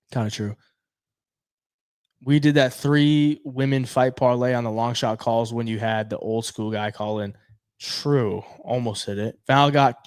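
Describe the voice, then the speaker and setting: A man's voice: medium pitch, no room sound, clean studio sound. A man hosting an MMA betting show, chatting casually with viewers and reading their comments in a laid-back, slangy way, sounding stuffy as he loses his voice. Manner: laid-back, slangy, says "bro" often. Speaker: MMA betting podcast host.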